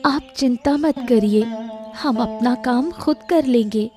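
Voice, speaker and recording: A woman's voice: fairly high pitch, slow pace, warm tone. A woman voicing a polite, earnest young village woman in a Hindi cartoon moral story. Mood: earnest, reassuring. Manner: respectful, patient, reassuring. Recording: music bed under the voice.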